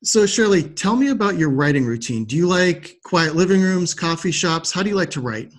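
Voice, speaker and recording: A man hosting a casual interview podcast, speaking in a halting, thoughtful and agreeable way. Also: sits high, animated. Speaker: podcast host.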